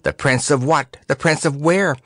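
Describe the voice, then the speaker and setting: A man voicing a dreamy, forgetful young male poet in a storybook, theatrical and halting. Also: fairly high pitch, a bit bright, no echo, studio quality, pitched above the performer's own voice. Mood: feeling, scornful.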